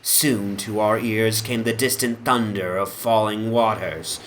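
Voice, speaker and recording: A man's voice: lowish voice, fairly even intonation, a little slow, a bit bright, slight background noise, rough recording. A man narrating a horror fantasy story in a solemn, theatrical dramatic reading. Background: prominent music soundscape.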